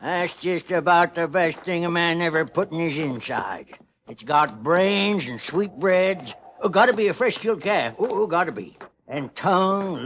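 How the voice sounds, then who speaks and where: An actor playing a folksy, rambling old Wild West miner with an American Western accent in a radio western. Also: male voice, sits high, fairly bright tone, heavily acted. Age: older. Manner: folksy, chuckling, coughing, rambling. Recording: noisy old radio recording.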